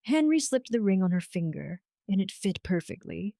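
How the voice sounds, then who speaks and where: A woman's voice: mid-range voice, very animated, normal speed, dark tone, no echo, studio quality. A woman storyteller reading a scripted romance story as narration.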